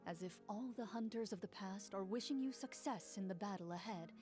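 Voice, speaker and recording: An actress playing a calm, mysterious game master, narrating a fantasy adventure in a measured, dramatic way. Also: female voice, medium pitch, close to an everyday voice. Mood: portentous. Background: music.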